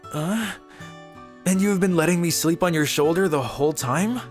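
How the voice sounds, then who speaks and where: A woman plays a shy, sleep-starved teenage boy from a manga dub, pitched low for her and speaking softly with little hesitations. Faint music plays behind him. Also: normal speed, lightly acted. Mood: shy polite. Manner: soft-spoken, hesitant.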